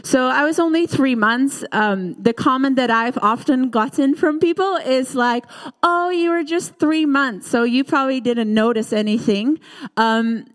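A woman's voice: high voice, clean tone. Dutch woman sharing her testimony. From Netherlands. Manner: earnest, halting, with many fillers. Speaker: missionary giving a testimony. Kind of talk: personal testimony in church. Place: church hall. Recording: reverberant church hall.

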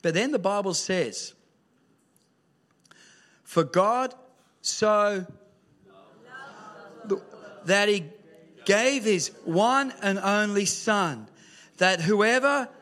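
A pastor with a high voice preaches to his congregation. He tells stories with humour and punches key lines with a raised voice. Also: drawn-out words, long pauses. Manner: lively storytelling with humour, rising to shouts. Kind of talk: sermon. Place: church hall with screen.

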